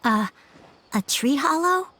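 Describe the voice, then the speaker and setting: A woman's voice: sits high, expressive, takes it slowly, bright, forceful tone, a little hiss or hum, exaggerated voice. A woman voicing a shy, polite, guarded little boy in a video game, speaking hesitantly. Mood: curious. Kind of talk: video game character voice acting.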